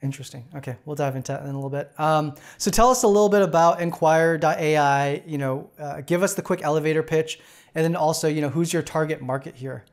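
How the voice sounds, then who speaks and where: Male podcast host, casual, slightly higher pitch, chatty and filler-prone. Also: fast talker. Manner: relaxed, filler-heavy, says "got it" often. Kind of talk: casual interview chat.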